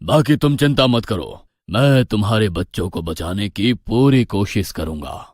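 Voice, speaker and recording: A man's voice: fairly low pitch, expressive, unhurried, darkish tone, no echo, studio quality. A man voicing a stern, protective village holy man with a grave, resolute and commanding manner in a horror story. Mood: grave, reassuring. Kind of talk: animated horror story voice acting.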